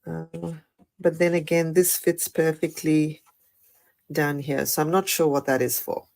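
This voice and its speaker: A woman with a croaky voice after the flu, chatting through a live card-making tutorial with many fillers and apologies. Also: sits low, little pitch movement, unhurried, a little mellow. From Australia. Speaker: craft demonstrator.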